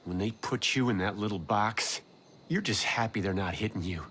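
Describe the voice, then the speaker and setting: Game cutscene role: an anxious male informant, low voice, nervous urgency. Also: normal speed, fairly bright tone, husky. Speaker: released prisoner. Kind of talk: video game cutscene acting.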